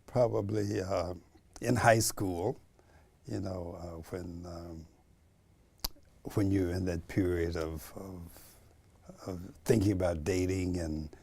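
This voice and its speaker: A man in his seventies being interviewed for a documentary, thoughtful and halting with fillers. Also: deep voice, vocal fry, darkish tone, slightly rough. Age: 70s.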